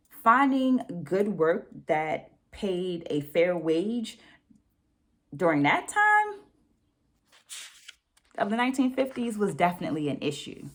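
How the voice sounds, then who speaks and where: A woman hosting a book discussion, speaking in deliberate, emphatic phrases with conversational check-ins and quotes read aloud. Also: on the low side. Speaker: book review host.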